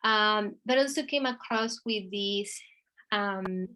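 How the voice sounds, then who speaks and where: Woman, Argentinian accent, Spanish native speaker, unhurried pace, halting with restarts, middle pitch. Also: sharp tone. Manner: slow and halting, many restarts and fillers. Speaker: artist. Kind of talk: virtual conversation. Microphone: video call.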